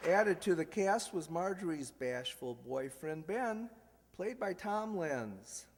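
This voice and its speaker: A man's voice: sits high, big pitch swings, slow pace, over a noisy crowd. A man announcing a live old-time radio style show as its host, in a jokey, ad-libbing manner. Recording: echoey hall with background hiss.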